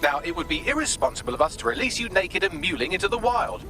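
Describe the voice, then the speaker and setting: A man's voice: high voice, sing-song, quick pace, pushed and bright, soft background music, exaggerated voice. A man with a British accent playing a sarcastic, smug artificial intelligence assistant in a video game, with droll, pompous, articulate delivery. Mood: smug, teasing. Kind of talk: scripted video game voice acting.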